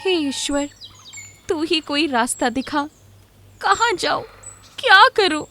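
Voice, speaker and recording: A woman's voice: high-pitched, animated, slow pace, sharp tone, pitched above the performer's own voice, exaggerated voice. A woman voicing a poor, distressed young mother in a children's story, pleading and bewildered. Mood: desperate, pleading. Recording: music playing behind the voice.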